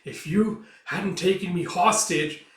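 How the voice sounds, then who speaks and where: A man voicing a furious, vengeful young warrior with an accusing, defiant delivery, as in an animated saga. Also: sits high, takes it slowly, pitched above the performer's own voice. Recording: echoey room, distant from mic.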